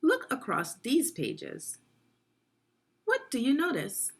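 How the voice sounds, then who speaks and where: A woman's voice: high voice, huge pitch swings, takes it slowly. A woman leading a guided read-aloud of a children's science book, teaching and prompting young listeners with questions.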